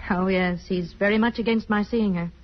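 An actress playing a composed, wistful estranged wife and mother, poised and measured, in 1950s radio drama style. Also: medium pitch, steady tone, lightly acted. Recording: dull old radio recording.

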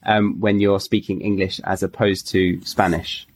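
A man's voice: low voice, little pitch movement, measured pace, a little hiss or hum. A man who hosts a podcast and teaches English, asking questions in a friendly, encouraging way. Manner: friendly, encouraging, lots of affirming fillers. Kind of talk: casual interview chat.

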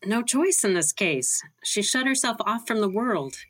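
A woman's voice: medium pitch, animated, a little slow, a bit bright. An actress playing a suave, playful lady thief in an audio drama, with a poised manner. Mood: playful, assured.

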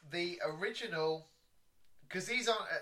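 A man's voice: sits high, moderate pace, sharp tone. A man co-hosting a casual review chat, explaining things with enthusiasm. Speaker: podcast co-host.

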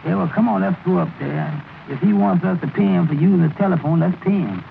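Worried folksy cab driver, very creaky voice, ungrammatical dialect, 1930s radio comedy, crackly narrow-band broadcast. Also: male voice, high-pitched, a little fast. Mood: practical.

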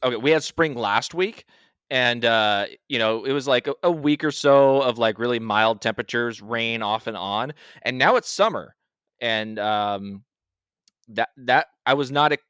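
A man in his thirties from eastern Washington chatting casually, halting and restarting often. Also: mid-range voice, a bit bright, clean tone, no echo, studio quality. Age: 30s. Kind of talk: casual chat.

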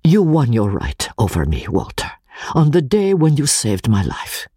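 Audiobook figure: male reader as small, solemn Italian man, trembling with earnest agitation, very breathy and raspy, swooping pitch. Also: wildly swooping pitch, measured pace, warm tone, no echo, studio quality, heavily acted. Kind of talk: dramatic audiobook reading.

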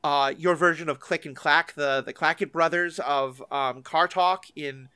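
Guy podcast host, kinda high voice, dry close sound, enthusiastic and rambly interview questions. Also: fairly even intonation, measured pace, sharp tone, clear, resonant tone, no room sound.

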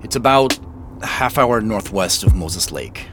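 A man's voice: lowish voice, animated, unhurried, a bit bright, faint music behind. A man acting in an audio drama as a suspicious, on-edge man investigating a mystery, with a dry, guarded manner. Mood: tired, subdued. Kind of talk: naturalistic audio drama dialogue.

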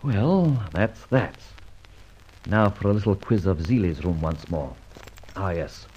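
A man playing a suave, flippant gentleman adventurer who teases wryly, in an old radio crime serial with dull sound. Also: deep voice, expressive, slow pace, slight background noise. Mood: sly, musing. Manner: flippant, teasing, debonair.

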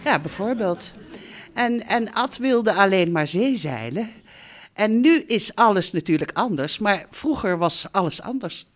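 Older mother, free-spirited, earnest, placating, mid-pitched, radio play. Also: expressive, a little slow. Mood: earnest, soothing. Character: soothing, free-spirited older widowed mother, gently stubborn. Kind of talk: Dutch radio drama acting.

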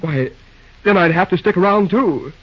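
Husky, halting young railroad boss. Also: male voice, high-pitched. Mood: shy, tender. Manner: hesitant, folksy, halting delivery. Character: glum young railroad boss, shyly lovesick. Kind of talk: old-time radio drama acting. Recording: dull, lo-fi old radio recording.

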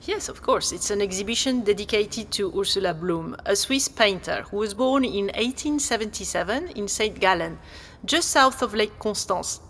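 An actress playing a helpful, slightly flustered exhibition guide, speaking politely and hesitantly in naturalistic audio drama dialogue. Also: female voice, medium pitch, expressive, normal speed, a bit bright, slight background noise, lightly acted. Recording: long hall-like reverb.

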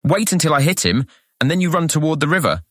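A man's voice: on the high side, sing-song, quick pace, a bit bright, no echo, studio quality. An actor playing a wary, resentful boy, suspicious and grudging, in a fantasy audiobook drama. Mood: hesitant, resolving. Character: wary, resentful boy, easily goaded friend. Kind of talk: full-cast fantasy audiobook acting.

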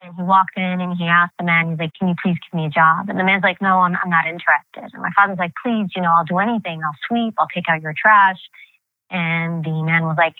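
A woman's voice: fairly low pitch, no room sound. A woman from New in her thirties, telling her family story as an interview guest, candid and reflective with many fillers and restarts. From New York, United States. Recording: tinny phone-like line, dry.

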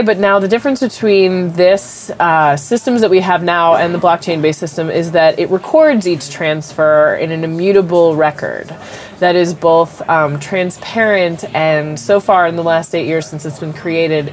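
A woman's voice: lowish voice, normal speed, a little hiss or hum. A woman with a cold and a stuffed-up voice, a company executive answering interview questions and explaining technology through everyday comparisons, with frequent ums.

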